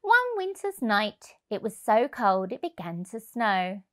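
A woman in her thirties narrating a picture book for young children, expressively and deliberately. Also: medium pitch, very animated. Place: indoor room, on a sofa. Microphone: lapel mic.

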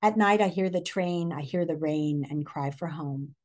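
A middle-aged woman with an American accent reads a picture book aloud, expressively, pausing to put questions to young listeners like a teacher. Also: low-pitched, darkish tone. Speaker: read-aloud presenter. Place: living room, indoors.

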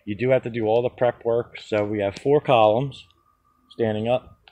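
A man's voice: mid-range voice, steady tone, normal speed, warm tone. A man walking through a steel-fabrication job outdoors while filming one-handed on a phone, talking practically and step by step. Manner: practical, explains step by step with fillers. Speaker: contractor showing a fabrication method. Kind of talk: casual how-to walkthrough. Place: backyard, outdoors. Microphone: handheld phone.

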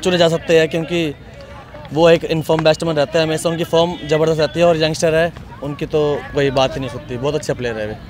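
A man's voice: on the high side, fairly even intonation, quick pace, crowd noise. A young man standing on an outdoor sports ground, talking emphatically and at length into a handheld mic. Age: young adult. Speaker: interviewee. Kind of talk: casual opinion.

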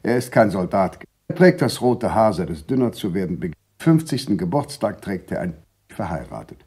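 Low-voiced insistent male narrator. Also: sing-song, normal speed, a little mellow, husky, full character voice. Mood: clipped, insistent. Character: insistent male narrator listing a critic's traits. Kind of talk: literary radio play narration, clipped statements.

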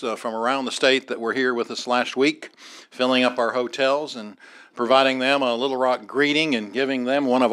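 A man's voice: mid-range voice, fairly bright tone. A middle-aged man, chairing a city board meeting from the dais in a large council chamber, speaking formally with many hesitant fillers into a desk microphone. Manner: halting, many "uh" fillers, formal procedural phrasing.

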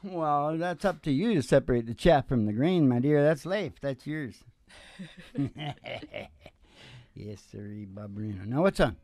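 Slow-talking older man, teasing and folksy. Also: medium pitch, expressive, darkish tone, no echo. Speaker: musician and show host. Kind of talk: casual joking stage banter. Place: small curtained indoor stage. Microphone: stage microphone on a stand. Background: acoustic guitar.

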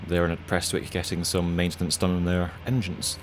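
A man's voice: sits low, completely flat delivery, a little fast, a little hiss or hum. A young man giving casual pilot commentary over his flight. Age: young adult.

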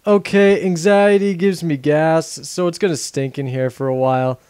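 A man's voice: on the high side, steady tone, normal speed, darkish tone, clear, resonant tone, natural voice. A man playing a cocky, wisecracking, sarcastic adventurer in a fan-made game parody dub. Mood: flippant. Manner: flippant, sarcastic.

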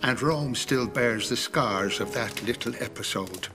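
An actor playing a grave, gentle elderly clergyman in mourning in a video game, with a musing manner. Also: medium pitch, a little slow, close to an everyday voice. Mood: solemn. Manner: solemn, musing. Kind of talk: video game cutscene acting.

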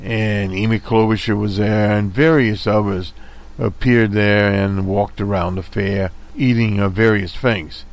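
A man's voice: fairly low pitch, fairly even intonation, measured pace, vocal fry. A man hosting a radio show, reading news reports aloud with many "uh" fillers, self-corrections and his own opinionated asides. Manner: editorializing asides.

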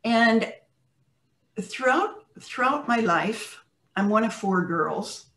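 An older woman with an American accent speaks thoughtfully and unhurriedly, pausing and restarting as she recounts her experiences. Her webcam sits some way off, so her voice carries a roomy echo, like a call from a home room. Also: on the high side, lively intonation, fairly bright tone. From United States. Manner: measured, thoughtful, with pauses and restarts. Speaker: interview guest. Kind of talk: reflective storytelling on a video call. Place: home room with plants, indoors. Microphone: video call webcam. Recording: roomy video-call audio from a distant mic.

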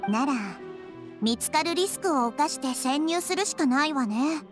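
A woman's voice: sits high, lively intonation, moderate pace. A woman voicing a kind, wise little nature goddess in an anime game, girlish yet composed, with refined feminine phrasing. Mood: thoughtful. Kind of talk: Japanese anime game voice acting. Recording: game music behind.

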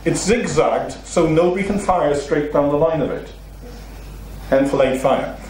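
Welsh lecturer in a very echoey room. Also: male voice, a little high, measured pace, a little hiss or hum. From Wales. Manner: steady explanatory delivery with rhetorical questions, gesturing freely. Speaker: seminar speaker. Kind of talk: illustrated lecture to a seated audience. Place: meeting room with a seated audience. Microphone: far room recording, no mic seen. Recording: very echoey room, distant mic.

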